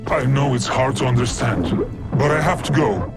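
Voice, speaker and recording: Actor as earnest undercover soldier, loud music behind. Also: male voice, medium pitch, lively intonation, moderate pace, lightly acted. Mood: tender urgency. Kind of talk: video game cutscene acting. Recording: loud game music and machinery.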